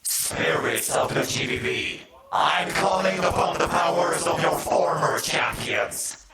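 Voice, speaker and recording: A man performing a slow, theatrical invocation on stage. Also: fairly high pitch, gravelly. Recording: very echoey, distant, crowd noise. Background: crowd.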